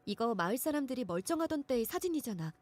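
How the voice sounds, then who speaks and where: Visual novel heroine: young woman, high, animated, playful, bluntly direct. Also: racing. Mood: playful, pressing. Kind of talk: visual novel game voice acting.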